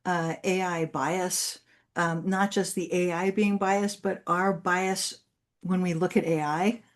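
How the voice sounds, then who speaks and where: A woman who is a native Spanish speaker, presenting and answering questions as an articulate, explanatory university speaker. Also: fairly low pitch. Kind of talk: conference presentation and Q&A.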